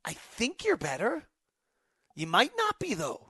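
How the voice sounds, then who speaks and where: High-voiced man talking sports off the cuff. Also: huge pitch swings, a little slow, sharp tone, slightly rough, dry recording, studio quality. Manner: thinking aloud, emphatic, choppy fragmented phrasing. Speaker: sports podcast host. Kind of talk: unscripted basketball talk, answering fan questions.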